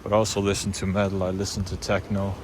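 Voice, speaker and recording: A man's voice: low voice, monotone, quick pace, background noise. A young Swiss man with a Swiss German accent, rambling casually to camera in a car, full of fillers and restarts. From Switzerland. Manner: loose, unscripted, many fillers and restarts. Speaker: vlogger.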